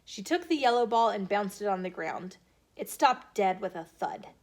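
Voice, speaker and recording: A woman hosting storytime, reading aloud to children in an expressive, deliberate storytelling way. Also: medium pitch. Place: filmed in a bookstore.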